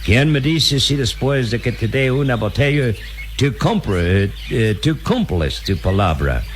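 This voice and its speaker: An actor playing a cunning, unscrupulous captain in a Latin American Spanish radio serial, with a coaxing, patronizing manner and drawn-out hesitations. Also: male voice, mid-range voice, very animated. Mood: scheming, bargaining. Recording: noisy jungle ambience with birdsong.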